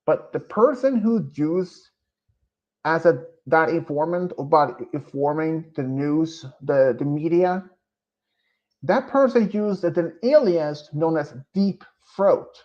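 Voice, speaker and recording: Male streamer, Swedish accent, English not his first language; unhurried, rambling, full of fillers; muffled low-fi mic. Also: animated, darkish tone. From Sweden. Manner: hesitant and rambling, with many pauses and fillers. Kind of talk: casual live-stream chat.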